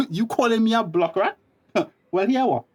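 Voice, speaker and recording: A middle-aged Tobagonian uncle in a radio play, with a widely swinging pitch. He is combative and guarded, talking in sharp-tongued island dialect. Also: expressive, normal speed. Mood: mocking. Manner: mocking, confrontational dialect speech. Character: combative, taunting family uncle guarding a secret. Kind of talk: radio drama acting.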